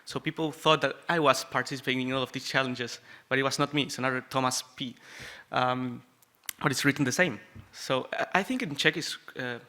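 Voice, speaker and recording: A young Uruguayan man speaks English with a Spanish accent, his voice very bright and forward. He talks to a conference audience in a loose, joking way, pausing on "um" and "uh" and restarting his sentences. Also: medium pitch. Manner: chatty, joking, many fillers and restarts. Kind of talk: conference talk.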